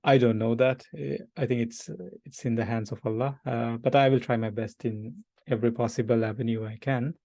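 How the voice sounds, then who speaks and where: Gravelly-voiced Sri man, hesitant. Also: medium pitch, steady tone, a little fast, few pauses, dark tone, no room sound. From Sri Lanka. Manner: hesitant, many fillers, laughs often. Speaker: PhD student guest. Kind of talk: casual self-introduction. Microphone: video call.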